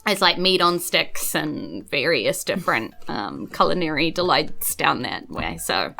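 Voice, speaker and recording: Female game master, New Zealand accent, bright forceful voice, casual narration over background music. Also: low-pitched, very animated, a little slow, creaky.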